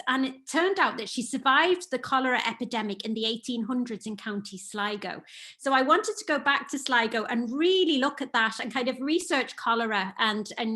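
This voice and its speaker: A woman's voice: on the high side, a bit bright. A woman with a half English, half Irish accent, an author talking eagerly about her books in a remote interview. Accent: mixed English and Irish. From north of England. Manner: enthusiastic, storytelling. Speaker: children's author guest. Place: home in Ireland, remote call.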